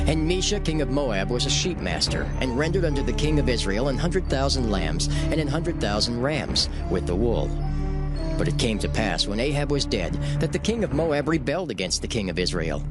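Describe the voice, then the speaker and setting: A man narrates dramatized scripture in a formal storyteller's manner. His mid-pitched voice moves widely and expressively through the archaic text, over clearly audible music.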